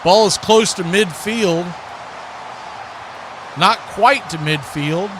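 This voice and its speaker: A man's voice: high voice, slow pace. A man calling live football play-by-play for a radio broadcast. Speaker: play-by-play announcer. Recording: clear background noise.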